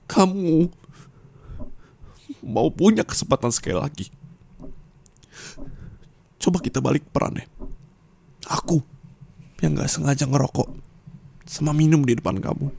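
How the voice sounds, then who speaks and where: A man's voice: mid-range voice, vocal fry, darkish tone, slight background noise. A young man playing an angry, hurt boyfriend in an ASMR roleplay, confronting his partner and demanding answers.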